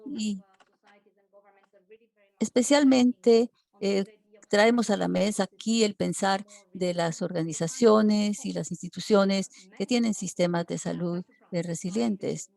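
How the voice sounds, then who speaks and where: A woman working as a simultaneous interpreter for an online seminar. She keeps a steady delivery, broken by frequent hesitation fillers. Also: medium pitch, slow, creaky. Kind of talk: live interpretation for a webinar.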